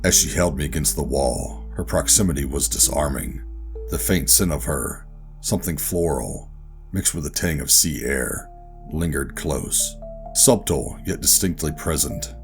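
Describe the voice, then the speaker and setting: An actor playing a gruff, guarded covert operative who narrates a sci-fi horror audio drama in a brooding, deliberate way. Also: male voice, a bass voice, over music. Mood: brooding, ominous. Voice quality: gravelly. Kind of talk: first-person scripted audio drama narration and dialogue.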